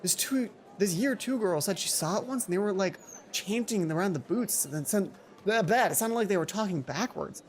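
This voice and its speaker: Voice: medium pitch, lively intonation, moderate pace, natural voice. A let's player voicing a gossipy, boy-crazy teenage schoolgirl in a visual novel, chatty and confiding. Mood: dishy, smitten.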